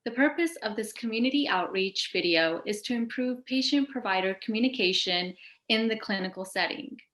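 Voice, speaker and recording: A woman's voice: a little high, moderate pace, a bit bright. A woman hosting an educational show, reading from a script and putting questions to panelists. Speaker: host of a patient advocacy show. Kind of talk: scripted presentation with question prompts. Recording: echoey room sound.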